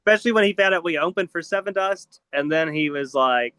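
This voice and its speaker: A man's voice: a little high, fast, fairly bright tone, clear, resonant tone, dry recording. A man from Georgia, a band member answering interview questions with many likes and ums. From Lawrenceville, Georgia. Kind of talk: casual interview chat.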